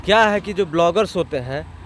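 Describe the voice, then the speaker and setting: A man's voice: high voice, expressive, moderate pace. A man from Jharkhand with a regional accent to match, giving blunt, exasperated opinions in colloquial speech as an interview guest, often through rhetorical questions. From Jharkhand, India. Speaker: interview guest, online channel owner. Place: outdoors in a town, near a road. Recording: clear outdoor street and traffic noise.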